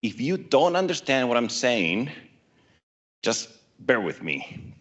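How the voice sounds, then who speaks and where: A man from Argentina with an Argentine Spanish accent, giving a startup pitch on stage and joking with the audience. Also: sing-song, slow pace, a bit bright. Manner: self-deprecating, joking with the audience.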